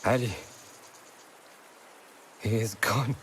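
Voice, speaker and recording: A man's voice: fairly low pitch, steady tone, very slow, a little hiss or hum. A man playing a weak, distressed, wounded informant in a video game, sounding exhausted and straining to speak. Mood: anguished, weak. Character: weak, distressed wounded male informant bringing bad news. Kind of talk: dramatic video game acting.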